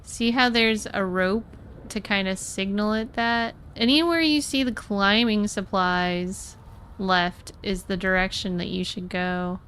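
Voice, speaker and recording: A woman's voice: medium pitch, steady tone, unhurried, fairly bright tone, a little hiss or hum. An American woman from Florida giving casual live gameplay commentary, chatting with viewers as a streamer. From Florida, USA. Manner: chatty, greets and thanks viewers. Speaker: live game streamer and host.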